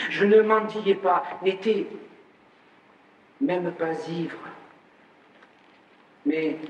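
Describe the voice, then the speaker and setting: Man, theatrical poem recitation, slow, slightly high, distant roomy hall sound, faint ambience. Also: slight background noise. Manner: slow, declaiming, rising in pitch on key lines. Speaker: reciter of a poem in an archive recording.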